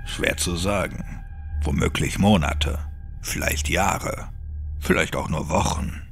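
A gloomy, fatalistic monk, played by a male narrator, speaks in a very low, gravelly hush. His delivery is slow and grave, like one resigned to the end, with music underneath in a horror audiobook. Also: heavily acted. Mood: solemn, fatalistic. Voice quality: dark, hushed, breathy. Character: nameless monk, dark-voiced, resigned, gloomy keeper of secrets. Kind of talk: dramatic audiobook character acting.

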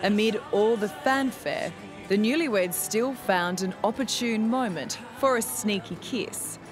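An Australian woman delivers scripted narration for a television news feature. She speaks as the reporter at a middling pitch, with music clearly running behind her voice. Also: unhurried.

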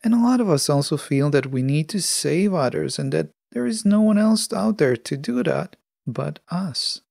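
A podcast host giving a scripted solo talk in a measured, instructive manner. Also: mid-range voice, lively intonation, gravelly, a little mellow, dry recording, studio quality.

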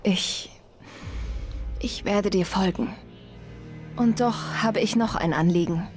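An actress plays a warrior woman in a sci-fi video game. Her voice sits at a medium pitch, and she speaks formally and deliberately, like a logical mind learning to feel. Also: soft background music, lightly acted. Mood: determined. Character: earnest, formal warrior woman, logical but newly emotional. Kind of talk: video game dialogue acting.

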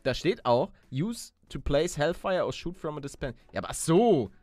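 A man in casual gameplay chat, talking excitably and often repeating or stammering over his phrases. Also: on the high side, normal speed, dry recording, faint music behind. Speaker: gaming video player.

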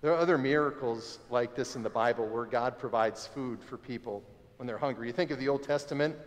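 A man in his forties preaching a sermon as pastor, conversational and animated, standing by the altar of a church sanctuary. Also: mid-range voice, fairly quick. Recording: long church reverb.

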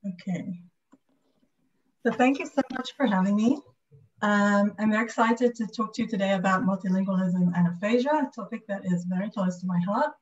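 A woman's voice: mid-range voice, a little fast. A woman with a British accent giving an academic lecture over a video call. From United Kingdom. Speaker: guest lecturer, speech and language pathologist. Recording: slightly dull video call audio.

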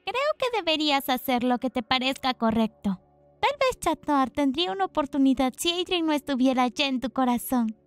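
A woman voicing a tiny, kindly bug sprite in a fan dub, gentle and encouraging, with a Latin American accent. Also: sits high, sing-song, normal speed, no echo.

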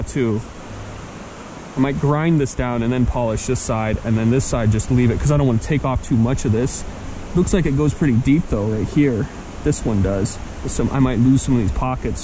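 A young man vlogging outdoors on a forest riverbank, talking eagerly to a handheld camera about the rocks he finds. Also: mid-range voice. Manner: enthusiastic, chatty, talks to camera and his dog. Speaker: vlogger, rockhound. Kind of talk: casual outdoor vlog narration. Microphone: action camera held at arm's length. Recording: noisy outdoor camera audio.

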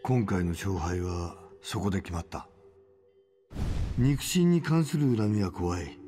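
An actor playing a stern, sage middle-aged fighting mentor who speaks solemnly and tersely in a video game. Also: male voice, sits low, animated, takes it slowly, mellow. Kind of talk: dramatic video game cutscene acting.

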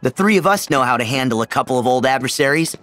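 A man's voice: mid-range voice, expressive, fast, fairly bright tone, lightly acted. A man playing an upbeat, sincere teenage boy hero in a video game, with a youthful voice.

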